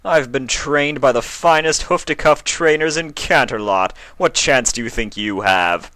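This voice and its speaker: A haughty unicorn prince villain from a cartoon fan story, put on by a man. He is pompous and sneering. The voice is pushed up in pitch, sharp and forceful, with a dry close sound. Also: unhurried, dry recording. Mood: menacing.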